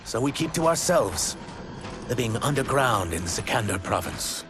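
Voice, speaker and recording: An actor voicing a remorseful reptilian shapeshifter in human form, earnest and formal, in dramatic video game style. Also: male voice, medium pitch, big pitch swings, moderate pace, noisy background, close to an everyday voice. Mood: sorrowful. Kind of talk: video game cinematic acting.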